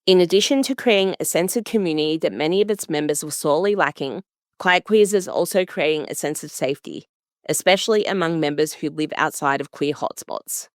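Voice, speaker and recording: A woman's voice: low voice, a little fast, no room sound, clean studio sound. A woman reading scripted narration as a radio story reporter.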